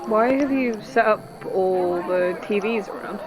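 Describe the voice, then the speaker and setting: A woman's voice: medium pitch, a little slow, lightly acted, poor audio. A woman playing a wary, doubtful newcomer in a sci-fi horror audio drama, with a questioning, hesitant manner. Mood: curious, wary. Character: wary, doubtful female newcomer visiting the facility. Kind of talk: science fiction horror audio drama acting.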